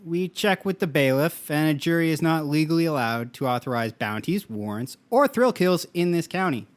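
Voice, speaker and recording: A man's voice: a little high, lively intonation, normal speed, natural voice. A man playing a polite, apologetic jury spokesperson in a scripted audio comedy.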